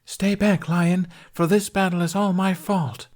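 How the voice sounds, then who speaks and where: A man putting on a high, theatrical lady knight. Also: steady tone, measured pace, darkish tone. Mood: bold challenge. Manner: declamatory, archaic stage speech. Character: theatrical fairy woman acting a gallant, bombastic knight.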